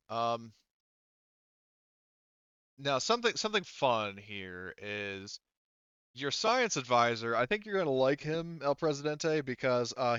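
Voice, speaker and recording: Bright, clear-voiced man running a game. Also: medium pitch, dry recording, lo-fi sound. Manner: halting, thinking aloud. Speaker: game master. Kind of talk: running a tabletop roleplaying game session, casual.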